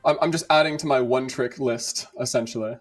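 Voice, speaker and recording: A man's voice: medium pitch, a little fast, soft background music. Male gamer giving casual interview answers. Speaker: esports player being interviewed.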